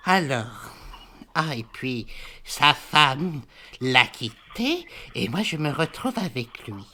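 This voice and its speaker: Comedy prank call: exasperated old lady played by a woman, low-pitched but very swooping, stammering, repetitive, faint noise behind. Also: sing-song, takes it slowly, pushed and bright, heavily acted. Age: elderly, as played. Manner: hesitant, stammering, sighing, repetitive. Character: exasperated rambling 82-year-old woman fed up with her brother.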